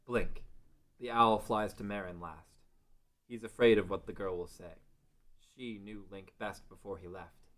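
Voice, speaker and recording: A man with a fairly low voice serves as narrator for a table read. He reads scripted fantasy narration in a dramatic storytelling style. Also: fairly even intonation, measured pace, fairly bright tone.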